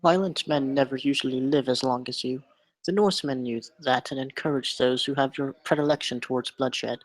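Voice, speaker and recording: A man acting an educated, melancholy doctor in a script table read, speaking in a formal, measured, articulate way. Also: mid-range voice, fairly even intonation, lightly acted, poor audio. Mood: calm, lecturing. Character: educated melancholy male doctor, dreamy captive scientist.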